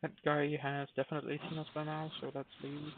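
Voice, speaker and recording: A man's voice: fairly high pitch, completely flat delivery, quick pace, gravelly, noisy background. A man giving casual live commentary while streaming a game, thinking aloud with hesitant fillers. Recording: dry, phone-like narrow sound.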